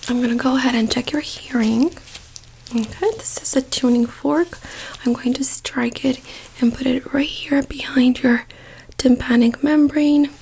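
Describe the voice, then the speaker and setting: A woman doing a soft-spoken ASMR doctor roleplay in a breathy whisper, gently giving reassuring instructions close to the mic. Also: sits high, steady tone, a little slow, poor audio. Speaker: ASMR performer playing a doctor.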